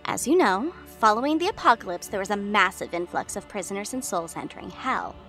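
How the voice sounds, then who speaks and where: Audio drama young goddess, woman acting, chirpy or primly formal, medium pitch, over music. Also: very animated, a little fast, fairly bright tone. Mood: formal report. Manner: chirpy, switching to crisp formality when reporting. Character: bubbly young goddess, daughter figure of the underworld.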